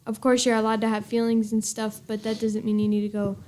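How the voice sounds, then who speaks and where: A woman's voice: a little high, completely flat delivery, fast, dark tone. A young woman chatting casually as a guest, rambling and filling her talk with "like" and "um.". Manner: rambling, filler-heavy, uses "like" a lot. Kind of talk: casual chat.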